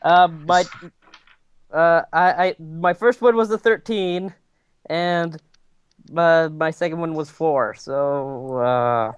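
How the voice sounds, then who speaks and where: A man's voice: on the high side, slow pace, clean tone, highs cut off. A man playing a tabletop game, chatting casually and hesitantly as he works out what to do. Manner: hesitant, unsure, fumbling for decisions.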